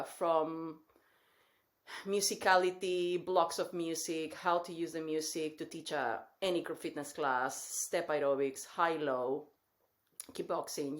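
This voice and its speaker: A woman in her forties from Mexico with a Mexican Spanish accent, a guest telling her story in a lively way on a podcast. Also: low voice. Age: 40s. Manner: lively storytelling with frequent laughs. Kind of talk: podcast interview.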